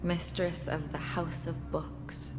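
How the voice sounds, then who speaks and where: An actress playing a humble, apprehensive goddess-in-training in an audio drama, speaking in a hushed, hesitant way. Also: female voice, deep voice, little pitch movement, takes it slowly, big-room sound, loud music behind the voice.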